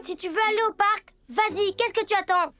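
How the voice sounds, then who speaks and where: A woman voicing a playful, stubborn little girl mouse in a preschool cartoon, with childlike, expressive delivery. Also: very high-pitched, brisk, faint music behind. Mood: cross.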